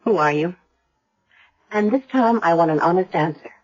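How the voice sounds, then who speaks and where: Woman playing a husky, earnest American woman doctor. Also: fairly low pitch, normal speed, lightly acted. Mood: probing. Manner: earnest, gently persistent. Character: caring, earnest, probing female hospital doctor. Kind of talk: radio drama acting. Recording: dull vintage radio broadcast.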